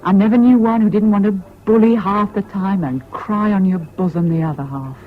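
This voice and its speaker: A woman's voice: medium pitch, normal speed, lightly acted. An actress playing a bitter, scornful, fiercely independent British woman in a 1970s radio drama, with a cutting, sardonic manner. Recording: dull, noisy old radio recording.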